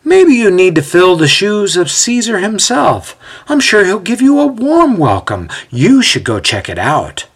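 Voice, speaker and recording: Man putting on a grumpy, high-pitched sarcastic fellow. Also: very animated, a little fast, few pauses, pushed and bright, breathy edge, exaggerated voice. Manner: exasperated, sarcastic retorts. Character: bent-over wheezing man, grumpy and sarcastic. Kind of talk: audio storybook character voice.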